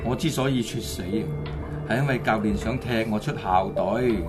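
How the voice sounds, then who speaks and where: A man's voice: on the low side, moderate pace, close to an everyday voice. A man playing the vengeful, menacing ghost of a young student athlete in a Hong Kong Cantonese radio drama. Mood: resentful. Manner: menacing, taunting, commanding. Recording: loud background music under the voice.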